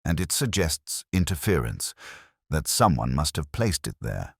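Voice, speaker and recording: A man's voice: very deep voice, big pitch swings, a little slow, vocal fry, husky, no room sound, clean studio sound, heavily acted. A man voicing an earnest, careful Victorian detective's companion in an audiobook, formal and methodical. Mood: tentative. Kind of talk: audiobook character dialogue.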